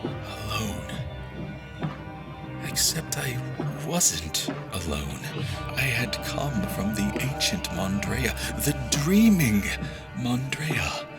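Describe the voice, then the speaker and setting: An actor playing a troubled, desperate general in an audio drama, speaking in a halting, grave, intense manner. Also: male voice, mid-range voice, very animated, exaggerated voice. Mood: anguished, haunted, then fevered, awed. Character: troubled, desperate newly-appointed general haunted by dreams. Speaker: actor narrating in first person and playing the lead. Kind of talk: dramatic audio fiction acting. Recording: loud music under the voice.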